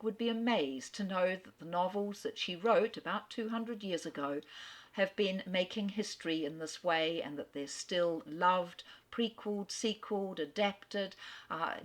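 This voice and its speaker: A woman giving an enthusiastic, expressive talk on a classic author, narrating like a storyteller. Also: mid-range voice. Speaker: literary speaker. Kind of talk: lecture narration.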